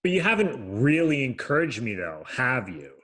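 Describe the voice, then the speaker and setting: A man's voice: mid-range voice, moderate pace. A man reading a sulky teenage boy. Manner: sulky, flat, self-deprecating. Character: glum teenage boy, a discouraged young writer. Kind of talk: screenplay table reading.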